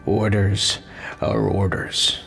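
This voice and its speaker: A male actor playing a gruff, confrontational American army lieutenant in a video game cutscene, with an American accent and a gravelly voice. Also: on the low side, monotone, slow, fairly bright tone, full character voice. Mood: curt. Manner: confrontational, clipped, sarcastic. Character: gruff, indignant American army lieutenant who obeys orders.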